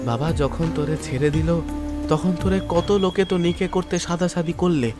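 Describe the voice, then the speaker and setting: A poor fifteen-year-old village boy acted by a man, pitched high, with plaintive and childlike rustic speech. He sounds devoted and full of naive questions. It is an audio drama with light music underneath. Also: steady tone, normal speed, darkish tone, natural voice. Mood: pensive, affectionate. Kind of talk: audio drama acting of a Bengali story. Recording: music bed behind the voice.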